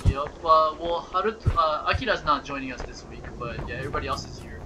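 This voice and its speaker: A man's voice: a little high, expressive, fast talker. A man taking part in a family multiplayer game, chatting casually with the other players from away from the microphone. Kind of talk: casual gameplay chat. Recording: distant mic, loud background noise.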